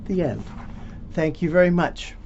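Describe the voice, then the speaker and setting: A man's voice: a little high, animated, a little slow, a little mellow. A man reading a children's picture book aloud in an informal, interactive way, as if to young listeners. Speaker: volunteer storybook reader. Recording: dull lo-fi sound, faint background noise.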